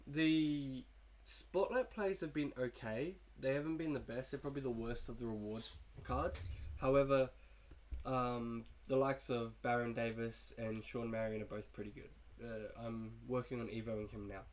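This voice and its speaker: A man's voice: medium pitch, steady tone, normal speed. A young man with a cold, casually talking through his video game team in a laid-back, rambling way. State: sick, coughing. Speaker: gaming YouTuber. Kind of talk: casual gameplay commentary.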